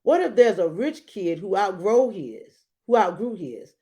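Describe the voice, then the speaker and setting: Slow, very animated woman reading aloud. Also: medium pitch. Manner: expressive, dramatic emphasis with deliberate pauses. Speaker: read-aloud host. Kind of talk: children's storybook read-aloud with brief asides.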